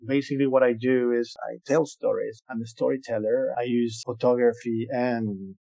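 A man from Venezuela, a guest artist in a podcast interview, who talks in a halting way, repeating words and searching for phrases. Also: medium pitch, level tone, vocal fry, mellow, low-quality recording.

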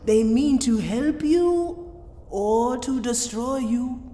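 A proud voodoo queen for a radio drama. She speaks slowly in cryptic, commanding phrases with folksy grammar, her pitch swooping widely. Her voice rings in a reverberant space. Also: animated, mellow. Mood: solemn, mysterious. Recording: roomy echo, long reverb, music underneath.

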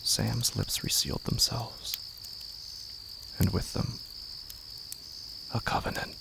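A man with a gravelly, creaky voice giving brooding, hushed, literary narration in a horror audio drama. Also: lowish voice, expressive, slow pace, background noise. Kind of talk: scripted literary narration, solemn and slow.

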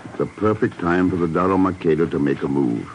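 An actor playing a shrewd male secret agent in a 1950s radio drama, calm, clipped and dry. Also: low voice, fairly quick. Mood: watchful. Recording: noisy old radio recording.

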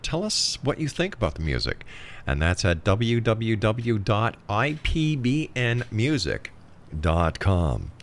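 Male talk radio host, lowish voice, conversational on-air presenting. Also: fairly bright tone.

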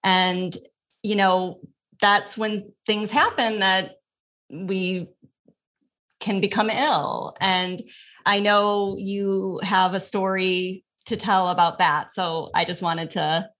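A woman's voice: mid-range voice, little pitch movement, slow pace, a bit bright. A woman hosts a podcast in an upbeat, friendly way. She chats casually and drops in everyday fillers as she talks. Her voice sits in a middle register. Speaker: podcast host. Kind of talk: casual interview chat.

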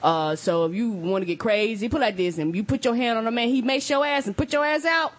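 A woman's voice: on the high side, a little fast. Blunt woman co-hosting a podcast. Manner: blunt, frank opinions. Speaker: podcast co-host. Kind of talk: casual podcast banter.

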